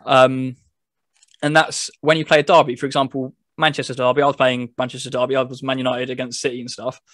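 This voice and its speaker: Casting: male gaming review host, average pitch, dry recording, informal and meandering delivery, somewhat hesitant. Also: little pitch movement, quick pace, fairly bright tone, no echo. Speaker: video host reviewing a football game. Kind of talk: casual chat.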